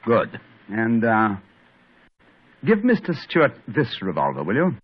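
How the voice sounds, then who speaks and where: An actor playing a brisk, commanding male detective, in a 1940s radio drama. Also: mid-range voice, wildly swooping pitch, full character voice. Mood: urgent. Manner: crisp, clipped and authoritative. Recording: muffled old radio broadcast with faint hiss.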